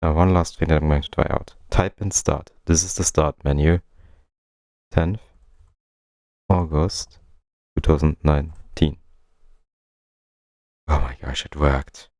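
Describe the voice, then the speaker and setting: A man's voice: very deep voice, fairly even intonation, unhurried, pauses often, darkish tone, no echo. A man giving casual gaming commentary, muttering to himself as he puzzles things out. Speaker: game streamer, let's-player.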